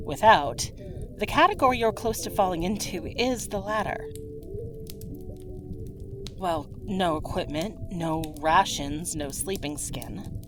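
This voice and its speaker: A woman's voice: lowish voice, natural voice. A woman playing a caring, frank innkeeper in a fantasy roleplay audio drama, soothing and conversational. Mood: frank, probing. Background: music behind the voice.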